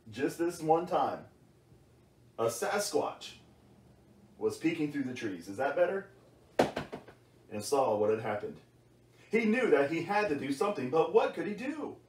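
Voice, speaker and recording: A middle-aged man reading a playful bedtime Bible story in an expressive, theatrical way, as if to a child. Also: a little high. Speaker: storyteller for a church's children's series. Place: small wood-panelled bedroom indoors. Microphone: camera across the room. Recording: roomy sound, distant microphone.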